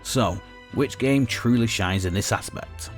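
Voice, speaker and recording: A man's voice: fairly low pitch, measured pace, faint music behind. A man reading scripted narration in a grandiose tone, breaking it with crude jokes.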